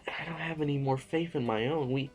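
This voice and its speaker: A man's voice: a little high, a little fast, a little mellow, faint music behind, close to an everyday voice, rough recording. An actor playing an anxious, frightened nobleman in an audio drama, stammering and urgent as he speaks. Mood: panicked, urgent. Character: anxious, frightened male nobleman fleeing a violent uprising.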